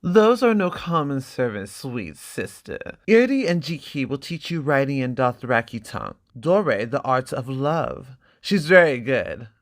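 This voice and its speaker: A man voicing a menacing, sneering young noble brother in a theatrical, drawn-out reading of a graphic novel. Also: medium pitch, very animated. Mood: smug, sly.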